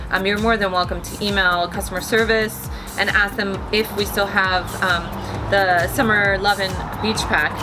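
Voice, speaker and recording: Chatty woman hosting a wine tasting. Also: mid-range voice. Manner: chatty, bubbly, heavy use of "like". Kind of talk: casual wine tasting presentation. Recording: music bed under the voice. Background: background music.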